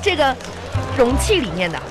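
A demanding wife in a radio comedy, sulky and full of romantic pretensions. The voice is on the high side, with lively swings in intonation. She nags theatrically while music plays behind her. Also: normal speed, a crowd in the background. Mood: nagging. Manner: theatrical, nagging. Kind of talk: live comedy radio drama acting.

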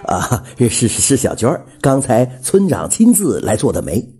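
A simple village man acted out by a storyteller, flustered and hesitant. He stammers through his words in a husky, mellow voice, in the manner of an audio storybook. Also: medium pitch, wildly swooping pitch, normal speed, full character voice. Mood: awkward.

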